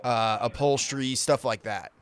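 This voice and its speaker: A man chatting casually and informally, in a halting, hesitant way. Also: mid-range voice, smooth. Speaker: prank caller and podcast guest. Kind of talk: casual, hesitant phone chat.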